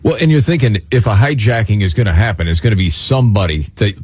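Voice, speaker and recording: A man's voice: fairly low pitch, lively intonation, fast, a little mellow, slight background noise. Wry male talk radio host. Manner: wry, sarcastic banter. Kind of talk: casual talk radio chat.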